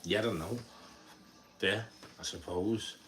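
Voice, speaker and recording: Deep-voiced Scouse man chatting casually. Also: measured pace, fairly bright tone. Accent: Liverpool (Scouse). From Liverpool, England. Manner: rambling, repeats words, swears casually. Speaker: livestreamer. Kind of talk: casual live stream chat with viewers. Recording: faint background noise.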